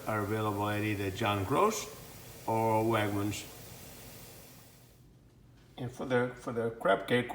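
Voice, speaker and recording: A man's voice: mid-range voice, slight background noise. A middle-aged man from Portugal, a chef giving a rambling home cooking demonstration full of fillers and restarts.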